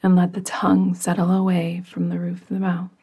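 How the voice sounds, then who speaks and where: A woman guiding a relaxation meditation in a soft, breathy, partly whispery voice with a soothing, gentle, unhurried manner. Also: on the low side, monotone. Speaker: yoga nidra meditation guide.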